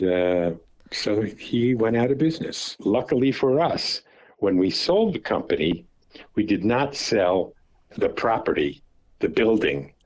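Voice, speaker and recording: A man in his seventies on a phone call, recalling the family business at an unhurried pace, often repeating words and restarting as he remembers. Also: fairly low pitch, a little mellow. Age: 70s. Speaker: father recounting family business.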